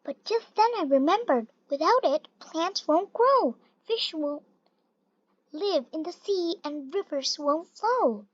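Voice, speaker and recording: Slow, high, childlike storybook reader. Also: dry recording. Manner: slow, deliberate, expressive storytelling. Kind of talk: read-aloud of a rhyming children's picture book. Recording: dull lo-fi recording, little room sound.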